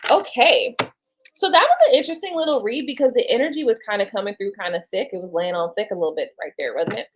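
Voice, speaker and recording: A woman's voice: mid-range voice, lively intonation, fast talker. A woman reading tarot cards on a casual live stream, rambling and chatting to her viewers and to spirit. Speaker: tarot card reader.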